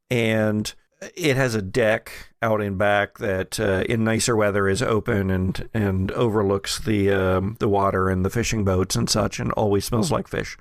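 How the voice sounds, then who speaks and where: A man with a low, gravelly voice runs a tabletop roleplaying game as its narrator. He feels his way through descriptions haltingly and with humor, in a very dry, close sound. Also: no room sound. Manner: halting, often jokey scene description. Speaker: game master and podcast host. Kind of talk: improvised scene narration for a tabletop roleplaying podcast.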